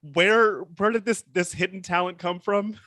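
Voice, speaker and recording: An easygoing man hosts a casual interview, upbeat and sprinkling in ums as he speaks. His voice is bright and forceful, and the recording is dry and close with no room sound. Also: sits high, fairly even intonation, unhurried, dry recording. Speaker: interviewer, host.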